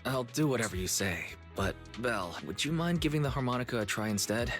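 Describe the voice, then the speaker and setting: A man acting as a courteous, easygoing young brother in a video game. His voice is bright and crisp at a medium pitch, with a slightly hesitant, conversational delivery. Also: expressive, fairly quick, faint music behind, close to an everyday voice. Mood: mild, courteous. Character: mild, polite young brother, easygoing shopkeeper.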